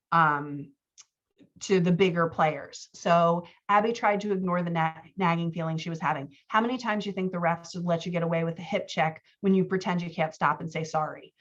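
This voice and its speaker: A woman's voice: fairly low pitch, highs cut off. A woman giving informal one-on-one writing feedback as a coach, explaining her edits and acting out example lines.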